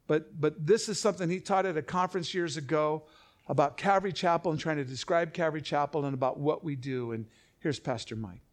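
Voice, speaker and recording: A man preaching, his voice sitting a touch high. He tells a story with animated, breathless energy, acting out the people in it. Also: brisk. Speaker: pastor. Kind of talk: storytelling sermon.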